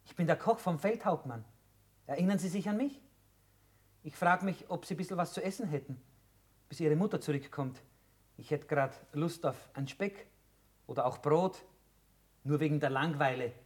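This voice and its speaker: An actor playing a grumbling, hungry army cook in a radio play, blunt and folksy. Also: male voice, a little high, breathy edge. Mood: cajoling. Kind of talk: German radio play acting.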